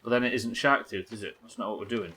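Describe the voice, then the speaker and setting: A man's voice: lowish voice, fast, pushed and bright, breathy edge. A man doing casual livestream game commentary, thinking aloud, questioning himself and swearing now and then. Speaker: live game streamer.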